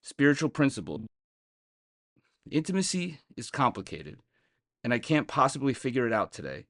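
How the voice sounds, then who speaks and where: A man reads a scripted daily reflection for a podcast. His voice sits at an average male pitch. The recording is dry, with almost no room sound. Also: very animated, normal speed, fairly bright tone, dry recording. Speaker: guest reader on a recovery podcast. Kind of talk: scripted reading of a daily meditation.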